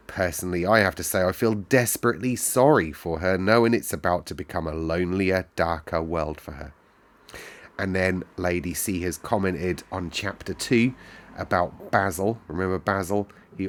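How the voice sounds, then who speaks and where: A man hosting a story read-aloud, speaking in a conversational, musing way with listeners. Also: deep voice. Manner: musing, conversational, thinking out loud. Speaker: storytelling host. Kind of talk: story read-aloud and casual chat with viewers.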